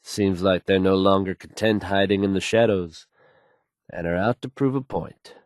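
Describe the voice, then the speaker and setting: An actor playing a confident radio DJ who reads post-apocalyptic news bulletins in an assured, measured, folksy announcer manner. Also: male voice, low voice, fairly even intonation, no room sound, studio quality, natural voice. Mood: assured, urgent. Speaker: radio DJ and newsreader.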